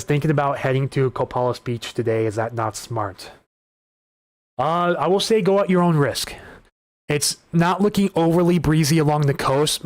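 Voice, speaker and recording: A man hosting a live weather stream, talking through wind data and viewer questions in a casual, conversational way, often restarting his sentences, his voice starting to go hoarse. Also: medium pitch, dry recording. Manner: conversational, repeats words and restarts while reading data. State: voice getting hoarse. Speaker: weather livestream host.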